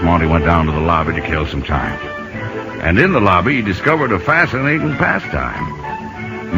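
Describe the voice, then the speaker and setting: An actor playing a folksy older baseball scout, telling his young friend's story as narrator of a radio drama. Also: fairly low pitch. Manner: folksy, colloquial. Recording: muffled 1950 radio broadcast with music.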